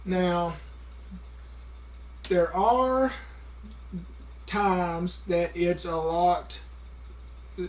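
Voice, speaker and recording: A man's voice: high-pitched, rough recording. A man talking casually to a camera, with a heavy stutter, repeating sounds and stopping on words, with many fillers. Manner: slow, halting, many fillers. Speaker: vlogger.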